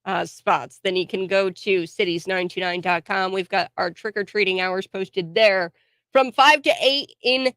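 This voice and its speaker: A woman's voice: mid-range voice, unhurried, fairly bright tone, smooth, dry recording. A woman hosting a morning radio show in a casual, rambling way while sick, her voice scratchy. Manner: chatty, rambling with fillers and small laughs.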